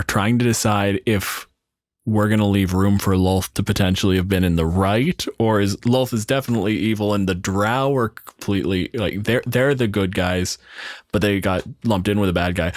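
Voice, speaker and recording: A man's voice: fairly low pitch, no echo. A man hosting a podcast in casual chat with dry, wry humour. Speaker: podcast host.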